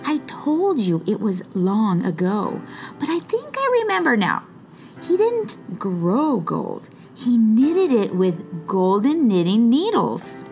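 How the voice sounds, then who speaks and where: A woman voicing a clever, calm young schemer in a storybook, measured and pausing for effect. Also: fairly high pitch, vocal fry, faint music behind, heavily acted. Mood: thoughtful.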